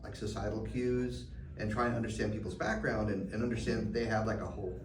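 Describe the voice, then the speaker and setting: Reflective middle-aged man, lowish voice. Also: steady tone, fast. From Canada. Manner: reflective, many fillers and restarts. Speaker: guest. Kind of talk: conversational interview answers. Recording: roomy, distant mic, background noise.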